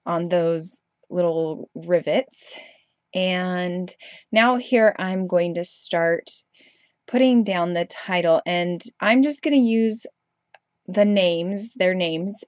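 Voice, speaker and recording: A woman's voice: on the low side, a little mellow, no room sound, clean studio sound. A young woman with a stuffy, congested voice from a cold, casually narrating a craft tutorial over her footage with rambling, chatty asides and lots of ums. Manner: chatty, rambling, frequent ums and self-corrections. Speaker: craft video host.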